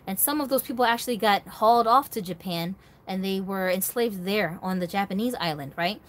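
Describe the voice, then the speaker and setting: A woman's voice: medium pitch, brisk. A woman hosting a vlog, talking casually and emphatically to viewers, often checking that they follow her. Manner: emphatic, conversational, seeks agreement with rhetorical questions. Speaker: host of a doll collectors' channel.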